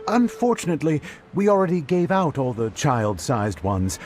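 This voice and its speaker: A man's voice: fairly high pitch, big pitch swings, moderate pace, a little mellow. A man voicing a good-natured, slightly grumbling porter in a video game, casual and down-to-earth. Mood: apologetic. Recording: background music.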